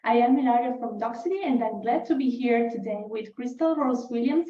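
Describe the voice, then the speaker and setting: A woman's voice: fairly high pitch, warm tone. A woman hosting a webinar over a video call, giving a scripted welcome. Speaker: webinar host. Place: video call in an echoey room. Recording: muffled, echoey, distant webinar mic.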